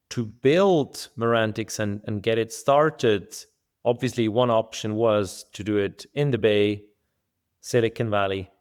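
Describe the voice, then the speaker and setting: A middle-aged man from Switzerland, a venture studio co-founder, talking through his answers in a thoughtful, measured way with some restarts. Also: mid-range voice, smooth. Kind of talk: conversational podcast interview.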